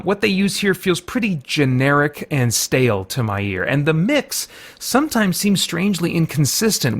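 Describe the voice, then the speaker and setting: Man, fairly high pitch, conversational review commentary, self-correcting, thinking aloud. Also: fairly bright tone. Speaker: reviewer and host. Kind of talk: video game review, conversational semi-scripted commentary.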